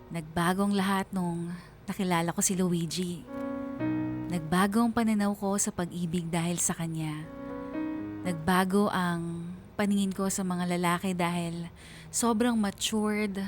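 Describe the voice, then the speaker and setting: A woman's voice: medium pitch, natural voice. Woman as confiding young student narrator. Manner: reflective, confiding. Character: earnest twenty-two-year-old student telling her love story. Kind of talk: scripted radio drama narration.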